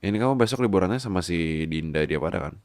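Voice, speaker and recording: A man's voice: deep voice, animated, fast, darkish tone, no room sound. A man in an intimate ASMR roleplay, talking and telling stories in a playful, coaxing, colloquial way. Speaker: voice roleplay performer as doting husband.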